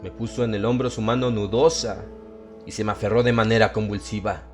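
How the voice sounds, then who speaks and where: Low-voiced male horror narrator, faint music. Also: little pitch movement, fairly quick, darkish tone. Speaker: audiobook narrator. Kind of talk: scripted first-person horror narration.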